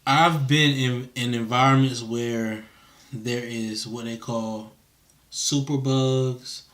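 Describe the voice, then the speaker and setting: A man's voice: mid-range voice, fairly even intonation, slow, a bit bright. A man talking casually as an interviewer, in an informal, slangy way, repeating short phrases for emphasis. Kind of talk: casual conversational chat.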